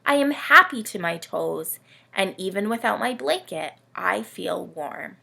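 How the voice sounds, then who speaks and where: A woman plays a vain, melodramatic storybook camel who feels very sorry for himself. The voice is bright but heavily creaky, and every phrase is drawn out slowly and theatrically. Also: medium pitch, lively intonation, slight background noise, full character voice. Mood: awed, tender. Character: self-pitying, melodramatic, vain male camel of the Nativity.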